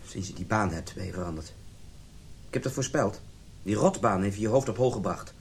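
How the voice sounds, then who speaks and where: A jealous, wounded husband in middle age for a radio play. He is domineering and agitated, and his pitch moves widely. The recording carries clear low noise and rumble. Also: animated, a little fast. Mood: bitter, scornful. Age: middle-aged. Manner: agitated, stammering when upset. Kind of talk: radio drama acting.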